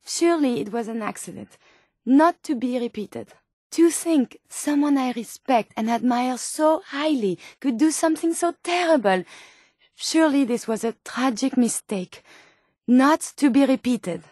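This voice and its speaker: A woman's voice: sits high, animated, a little slow, a little mellow, no echo, studio quality. An actress voicing an earnest, polite female robot scientist in a video game, with formal, precise phrasing. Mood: hurt, indignant. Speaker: video game companion character. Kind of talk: acted video game dialogue.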